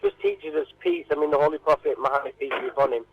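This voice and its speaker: A man's voice: a little high, wildly swooping pitch, vocal fry, breathy edge, no echo, highs cut off. Hesitant man, dry sound. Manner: hesitant, halting, repeats words. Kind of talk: informal radio interview answers.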